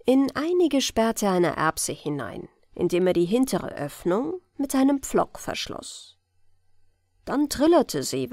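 A woman with a German accent reading a fairy tale aloud in a calm, gentle bedtime style, in a lilting storyteller manner. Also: mid-range voice, no echo, clean studio sound. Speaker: audiobook reader.

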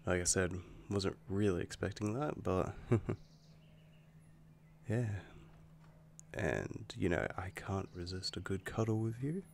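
Man as a deep, gravelly, slow-talking werewolf boyfriend. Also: expressive, darkish tone, a little hiss or hum, heavily acted. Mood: relaxed, affectionate. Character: affectionate young male werewolf boyfriend, easily angered, protective. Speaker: roleplay audio performer. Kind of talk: intimate boyfriend roleplay ASMR.

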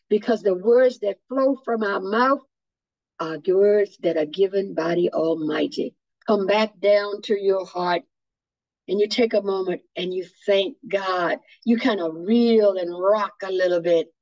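Slow, soothing woman on a dry call. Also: medium pitch, darkish tone, no room sound. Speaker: meditation guide. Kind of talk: guided imagery meditation. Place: at home on a video call. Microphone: video call. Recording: muffled, dry video call audio.

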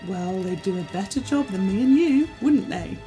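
A man's voice: high-pitched, animated, moderate pace, mellow. An actor playing a sassy, cautious young man with a British accent and a sarcastic, theatrical way of talking in an audio drama. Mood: exasperated. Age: young adult. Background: music.

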